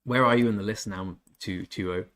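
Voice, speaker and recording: A man's voice: fairly low pitch, fairly even intonation, a little fast, a bit bright. Chatty English man streaming a game. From England. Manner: chatty, self-deprecating, reads chat aloud. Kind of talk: casual live-stream chat.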